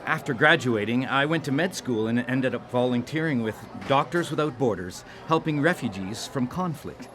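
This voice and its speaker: A man's voice: medium pitch, animated, normal speed, few pauses, crowd noise, close to an everyday voice. A man playing a pious, modest small-town man on a date in a live radio drama, polite and hesitant. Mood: earnest.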